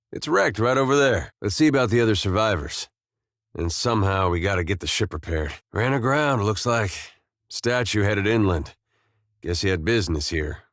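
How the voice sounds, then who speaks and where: An actor playing a laid-back, good-natured farmer turned adventurer in a video game, plain-spoken and folksy with dry, understated humor. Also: male voice, fairly low pitch, a bit bright, no echo. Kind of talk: scripted video game dialogue.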